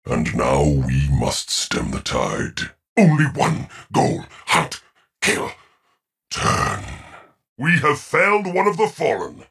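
A menacing rogue warlord AI from a video game, with a very husky, rasping voice. The delivery is slow and grandiose, with huge swings in pitch. It sounds commanding and theatrical throughout. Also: male voice, big pitch swings, fairly bright tone, no echo, clean studio sound, full character voice. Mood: grim, commanding. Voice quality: rough, gravelly rasp. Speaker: video game announcer character.

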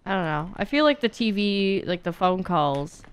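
A woman's voice: mid-range voice, big pitch swings, moderate pace, slight background noise. A young woman giving casual, playful live commentary while streaming a video game, with mock exasperation. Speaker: streamer, speedrunner.

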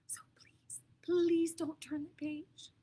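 A woman putting on a lovable, furry male monster from a storybook, jittery and pleading in a very high, bright voice. He talks slowly and theatrically, with big swings in pitch. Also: sing-song, exaggerated voice. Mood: hushed. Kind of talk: storybook read-aloud.

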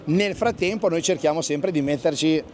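Guy talking boats outside at a marina, slightly high voice, keeps saying absolutely, faint outdoor noise. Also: normal speed, darkish tone. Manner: enthusiastic, repeats "assolutamente". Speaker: fishing guide. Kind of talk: interview at a boat show. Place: outdoor marina at a boat show.

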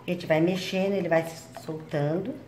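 A woman's voice: fairly low pitch, steady tone, moderate pace, a little mellow, a little hiss or hum. Woman, home cook host, casual explaining tone, roomy kitchen sound with faint clatter. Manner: chatty, explains each step, adds "tá?". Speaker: cooking channel host. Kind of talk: home cooking demonstration. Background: clinking utensils and bowls.

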